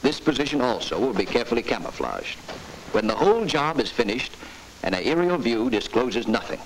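A man's voice: mid-range voice, big pitch swings, fairly quick, poor audio. A man narrating a 1940s military training film in a stern, emphatic, instructive way. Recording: hissy 1940s film soundtrack.

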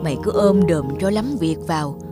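A woman's voice: on the low side, normal speed, natural voice. Woman playing a stern, nagging cartoon grandmother. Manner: scolding, blunt, fretful. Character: stern, nagging elderly grandmother and mother-in-law. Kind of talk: cartoon voice acting. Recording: loud background music.

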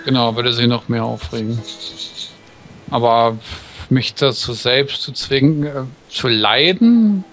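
A man's voice: mid-range voice, slow, a bit bright, poor audio. Man stumbling through a translation. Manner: hesitant, stumbles and repeats words while translating. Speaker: co-reader. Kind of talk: casual group reading and translating.

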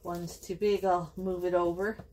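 A middle-aged woman with a Canadian accent, chatting to viewers in a relaxed, rambling way while cooking in her home kitchen. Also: lowish voice, a little slow. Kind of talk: chatty kitchen vlog narration. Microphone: camera on counter.

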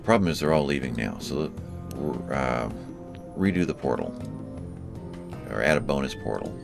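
A man's voice: a bass voice, expressive, normal speed, gravelly, over music. A man hosting a let's play, casually coaching his partner through tactics. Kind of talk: casual gameplay commentary. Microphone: desktop USB mic.

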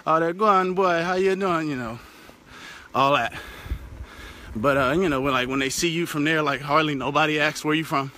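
A man's voice: a little high, moderate pace, sharp tone, a little hiss or hum. Young American man vlogging from a bike. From United States. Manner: loose, chatty, swears casually. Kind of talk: casual vlog while cycling. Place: outdoors on a rural road. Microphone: phone held at arm's length. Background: passing cars.

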